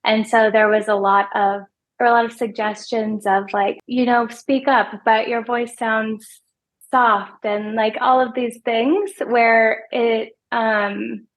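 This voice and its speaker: Woman, thirties, soft-spoken, gravelly texture, halting interview answers. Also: fairly high pitch, flat delivery. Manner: hesitant, repeats words while thinking. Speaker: financial advisor guest. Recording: podcast remote interview.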